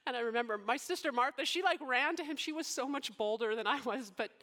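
A devoted, deeply moved young woman from scripture, performed by a woman. Her voice sits high and tender, and she falters and falls into hushed whispers as she speaks. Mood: overwhelmed, reverent. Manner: emotional, halting, drops to soft whispers. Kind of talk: dramatic monologue in character.

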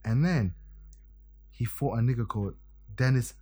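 A man in casual podcast banter, emphatic and animated, often tagging lines with "yeah?". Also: medium pitch, moderate pace, husky. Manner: animated, emphatic, tag questions. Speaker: podcast co-host.

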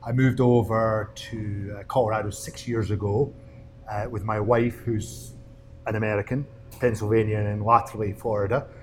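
A middle-aged man from Scotland speaks in a low voice with a Scottish accent. He tells his story conversationally as a guest, with faint room noise behind him. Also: level tone, moderate pace. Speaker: distillery owner, interview guest.

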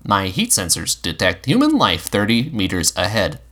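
A man's voice: fairly low pitch, huge pitch swings, normal speed, a bit bright, exaggerated voice. A man voicing a courteous, curious robot. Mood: formal, curious. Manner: formal, precise, courteous wording. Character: polite, curious male robot companion. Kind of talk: audiobook character voice.

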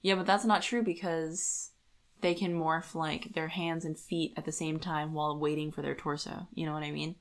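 A woman with a voice a little on the low side co-hosts a casual book podcast. She talks conversationally and lays out her arguments one step at a time. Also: flat delivery, moderate pace. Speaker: podcast co-host.

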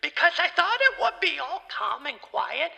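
An actor playing an excitable, anxious and bewildered young boy in a scripted comedy podcast, with dramatic, exasperated delivery. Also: high-pitched, normal speed, creaky, in a large hall, heavily acted.

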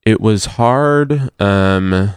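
A young man, a cantor, answering interview questions in a halting way with restarts and a slight stutter. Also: on the low side, smooth, no room sound, clean studio sound.

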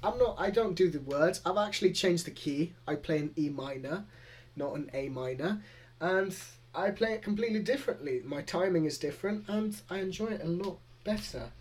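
A man's voice: high-pitched, animated, normal speed. A young man with a Greek accent, co-hosting a music podcast in casual, rambling chat. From Greece. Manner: chatty, rambling, frequent laughs. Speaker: podcast co-host.

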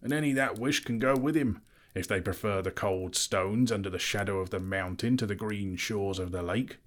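A man voicing a wary, pompous old town master who speaks loud and clear in his own defence. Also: fairly low pitch, normal speed, natural voice. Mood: haughty.

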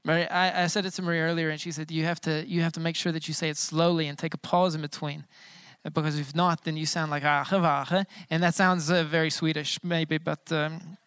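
A man's voice: fairly high pitch, little pitch movement, a little fast, fairly bright tone, clear, resonant tone, no room sound. A man in his thirties, a pastor giving a casual, joking sermon with many filler sounds. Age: 30s. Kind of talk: informal bilingual sermon.

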